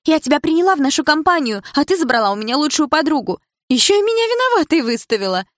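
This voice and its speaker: A woman doing a shrill, resentful teenage girl. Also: animated, moderate pace, no room sound, clean studio sound. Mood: bitter. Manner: shrill, accusing, near shouting. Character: defensive, resentful teenage schoolgirl.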